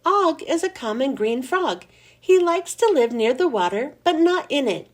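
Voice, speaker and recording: A woman voicing a kind but firm female schoolteacher who speaks in a gently instructive way in a storybook reading. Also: high-pitched, big pitch swings, normal speed. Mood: explaining.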